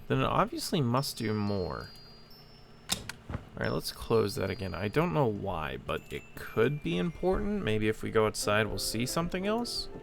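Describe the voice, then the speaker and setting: Male gaming host, lowish pitch, creaky voice, casual commentary, musing aloud, music in background. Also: big pitch swings, moderate pace. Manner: musing aloud, thinking through puzzles. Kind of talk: casual let's-play commentary. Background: game music.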